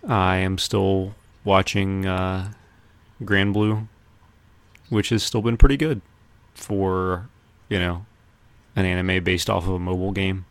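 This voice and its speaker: Man, very low creaky voice, dry close sound, casual podcast co-host. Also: no echo. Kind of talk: casual pop-culture podcast chat.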